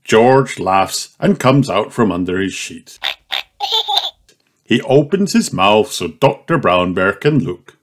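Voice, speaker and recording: A man's voice: on the low side, lively intonation, slow. A man reading a children's storybook aloud as scripted narration. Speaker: storybook reader.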